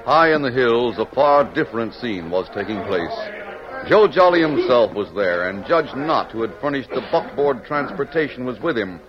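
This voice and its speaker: A man's voice: medium pitch, lively intonation, moderate pace. A man narrating an old-time radio western in a formal, dramatic storytelling manner. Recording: muffled vintage radio, music underneath.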